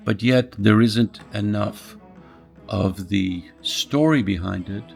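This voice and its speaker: Man, from Poland, gravelly, low-pitched, reflective, faint background music. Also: slow pace. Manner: thoughtful, searching, restarts phrases. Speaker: interviewer. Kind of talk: reflective conversation.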